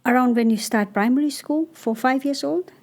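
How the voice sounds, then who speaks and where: Mellow-voiced woman. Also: high-pitched. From Nepal. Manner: thoughtful, pausing often with "uh". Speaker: guest, cross-cultural coach. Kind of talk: conversational interview answers.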